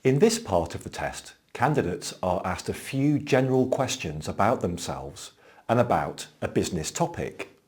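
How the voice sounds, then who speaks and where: Guy narrating exam commentary, clear and explanatory, expressive intonation. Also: lowish voice, moderate pace. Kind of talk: scripted narration.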